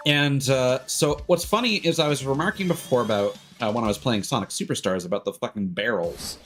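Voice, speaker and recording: Man streaming games over loud music. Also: lowish voice. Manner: opinionated, sweary, critical. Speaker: livestreamer. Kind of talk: casual rambling game stream commentary. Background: game music.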